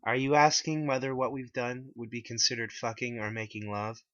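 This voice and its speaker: A man's voice: mid-range voice, level tone, moderate pace, fairly bright tone, dull audio, pitched above the performer's own voice, natural voice. A man voicing an exasperated, scornful woman in a dramatic story reading, curt and accusing.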